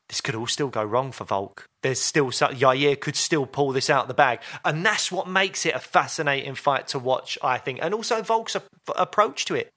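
A man's voice: medium pitch, bright, forceful tone. A man co-hosting a podcast, chatting casually and playfully about upcoming fights. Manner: chatty, playful, jokes and laughs often. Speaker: podcast co-host.

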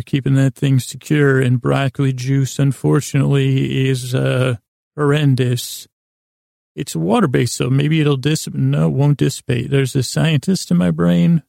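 A man hosting a bedtime podcast in a creaky, gravelly voice, giving a meandering, halting monologue full of false starts and tangents. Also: medium pitch, dark tone, dry recording, studio quality. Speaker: host of a bedtime sleep podcast. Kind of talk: rambling, unhurried bedtime monologue.

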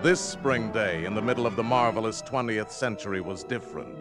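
An actor as the solemn narrator of a 1950s science-fiction radio drama, measured and portentous in his storytelling. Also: lowish voice, natural voice. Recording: 1950s radio recording with loud music.

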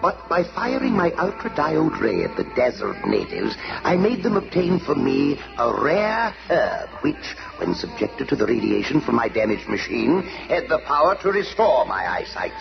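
A grandiose time-traveling tyrant who rules as a pharaoh, boasting in sweeping, showy swings of pitch. His voice is gravelly and a bit high. The old cartoon recording is dull, with music underneath. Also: wildly swooping pitch, normal speed, few pauses, heavily acted. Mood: boastful. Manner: pompous, boastful monologue. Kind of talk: 1960s cartoon voice acting.

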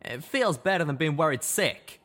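A hollering hot-headed pegasus. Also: male voice, fairly high pitch, very animated, a little slow, voice pushed up, full character voice. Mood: angry shouting. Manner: yelling, ranting. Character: loud, hot-tempered young male pegasus with a country drawl.

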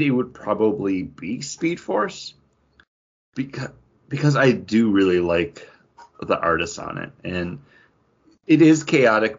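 A man with a low, rough-edged voice chats as a podcast co-host. His intonation moves around a lot while he gives measured opinions with asides. The recording is slightly lo-fi. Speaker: co-host of a comic book podcast. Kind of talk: casual review chat, steering discussion.

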